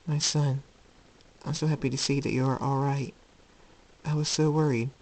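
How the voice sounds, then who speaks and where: Man putting on a gentle mother, raised pitch. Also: flat delivery, normal speed, lightly acted, poor audio. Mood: relieved, tender. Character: gentle loving pony princess mother, worried.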